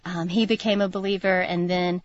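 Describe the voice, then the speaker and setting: A woman's voice: fairly low pitch, fairly even intonation, a little fast, no room sound. Earnest woman, thirties, radio guest telling her testimony; muffled, dry sound. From North Carolina, USA. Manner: earnest, reflective, laughs often. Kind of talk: personal testimony in a radio interview. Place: radio studio.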